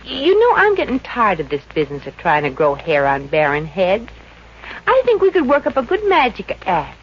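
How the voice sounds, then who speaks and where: A wisecracking, street-smart showgirl in a 1950s radio comedy. She is breezy and sure of herself, and her pitch moves around a lot. The old recording is muffled, with faint noise. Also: huge pitch swings, normal speed. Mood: breezy, encouraging. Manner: breezy, slangy, sassy. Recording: dull, noisy old-time radio recording.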